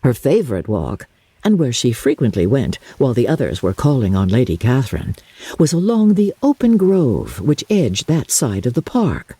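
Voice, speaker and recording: An older woman narrates a classic novel in a deep, mellow register with a husky grain. Her delivery is theatrical and measured, swooping widely up and down as she shapes each phrase. The sound is close and dry. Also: dry recording. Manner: theatrical, measured, expressive storytelling. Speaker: audiobook narrator. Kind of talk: dramatic literary narration.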